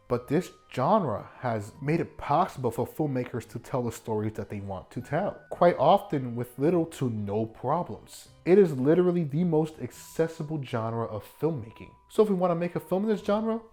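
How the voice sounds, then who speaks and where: A man narrating a video essay in an informal, jokey way, with animated asides and some swearing. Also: medium pitch, moderate pace, hardly any pauses. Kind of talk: scripted video essay commentary with joking asides. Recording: music bed behind the voice. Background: background music.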